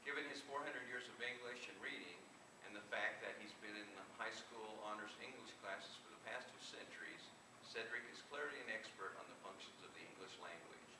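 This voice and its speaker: A man reads humorous prose aloud at a live event in a lowish voice, dropping in asides. The recording is distant and echoey, with clear noise behind him. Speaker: author reading at a live event. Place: indoor convention reading room. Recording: echoey room from far off, clear background noise.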